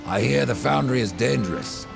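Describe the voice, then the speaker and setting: A man's voice: medium pitch, lively intonation, moderate pace, vocal fry, over music. A man acting a grim horseman in a video game, with a dry, sardonic, menacing way of speaking.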